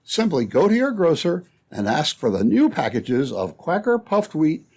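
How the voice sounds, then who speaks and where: A man's voice: fairly high pitch, wildly swooping pitch, moderate pace, a little mellow. A man announcing in scripted old-time radio style, with a peppy salesman's delivery. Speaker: announcer and narrator.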